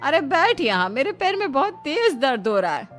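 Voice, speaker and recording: A woman voicing a bossy village mother-in-law who calls out sharp orders, for an animated story. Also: sits high, moderate pace, soft background music, pitched above the performer's own voice. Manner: calls out loudly, sharp commands.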